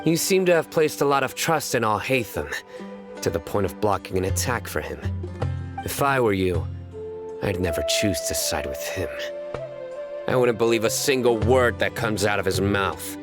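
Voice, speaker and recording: An actor playing a stern, uncompromising enforcer and hunter in a video game, with a formal, grave, commanding delivery. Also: male voice, fairly low pitch, expressive, normal speed. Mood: grave, warning. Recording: studio voice under prominent music.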